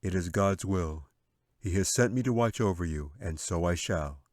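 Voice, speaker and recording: A solemn guardian angel from a fantasy storybook, played by a man, speaking with calm, measured formality. The voice is very low and reassuring, recorded close and dry. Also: dry recording. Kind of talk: fantasy audiobook character acting.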